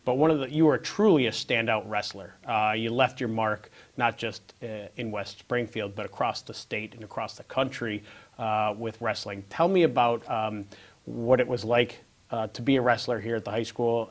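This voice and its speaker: Friendly mid-pitched male interview host. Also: moderate pace. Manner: friendly, stumbles and repeats words at phrase starts. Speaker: show host, school staff.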